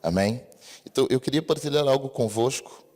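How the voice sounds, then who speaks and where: A man's voice: mid-range voice, brisk, darkish tone. A man from Brazil with a Brazilian accent mixed with European Portuguese, preaching an earnest sermon and often asking the congregation for an amen. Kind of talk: church sermon. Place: church service hall. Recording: long reverb of a church hall.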